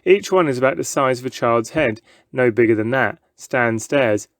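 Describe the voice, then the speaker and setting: A man with a mid-pitched voice reads a children's story aloud. His scripted narration is dramatic, and the recording is close and dry. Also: expressive, moderate pace, no room sound. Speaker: story reader. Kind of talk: scripted children's book narration, present tense.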